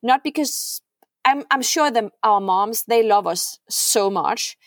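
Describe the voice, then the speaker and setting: A woman's voice: fairly high pitch, takes it slowly, no echo, studio quality. A middle-aged woman from Denmark, a podcast guest talking earnestly, often repeating words and checking in with "right?". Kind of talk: heartfelt podcast conversation.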